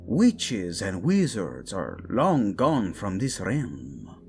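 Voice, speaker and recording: A man's voice: lowish voice, wildly swooping pitch, extremely slow, music in the background, full character voice. A man playing a proud, brooding young prince in an audio drama, curt and sardonic, with a Spanish accent. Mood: troubled, insistent. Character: brooding, proud young prince, defensive about his dead brother.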